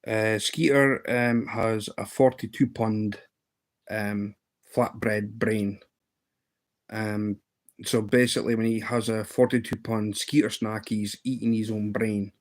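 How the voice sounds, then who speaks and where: Scottish male host, fifties, unhurried, rambling and playful with plenty of ums, good for a chatty home live stream. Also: fairly low pitch, steady tone. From Scotland. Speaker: livestream host. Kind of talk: casual live-stream chat.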